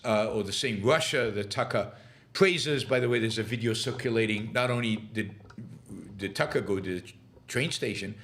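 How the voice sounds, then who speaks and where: A middle-aged man hosting a political commentary show, speaking in a halting way with many fillers and restarts, and turning scornful and sarcastic. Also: mid-range voice, fairly bright tone. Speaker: podcast host.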